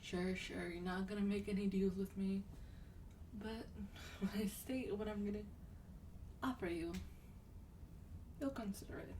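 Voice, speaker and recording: Woman acting a sweet female vampire, ASMR roleplay, soft breathy near-whisper, very unhurried, gently coaxing, faint background noise. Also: medium pitch, steady tone, recorded from a distance, close to an everyday voice. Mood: calm, reassuring.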